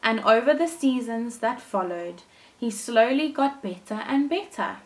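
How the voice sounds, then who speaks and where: A woman with a fairly high voice reads as a primary teacher to young children. She takes her time, phrasing each part expressively and deliberately. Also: poor audio. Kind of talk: children's storytelling.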